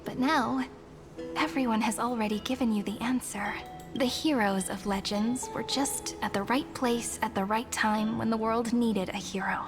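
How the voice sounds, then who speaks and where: A gentle young heroine , played by a woman, speaks in a high, tender voice with measured, poetic phrasing. It is fantasy game dialogue, wistful in temper, in long reverb over clear music. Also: fairly even intonation, lightly acted. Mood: earnest. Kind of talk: scripted fantasy game voice acting.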